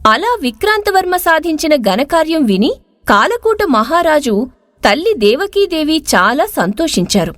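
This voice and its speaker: A woman narrating a scripted moral story in an expressive storytelling manner. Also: sits high, a little slow, no echo, studio quality.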